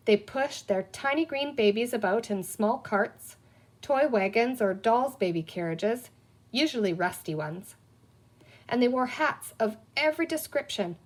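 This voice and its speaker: Female audiobook reader, slightly high voice, for expressive narration of a children's adventure story.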